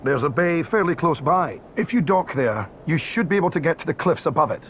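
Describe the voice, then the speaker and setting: An actor playing a brisk, dutiful soldier in a video game, reporting formally to a superior. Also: male voice, a little high, lively intonation, slight background noise, natural voice. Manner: crisp military reporting. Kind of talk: video game cutscene acting.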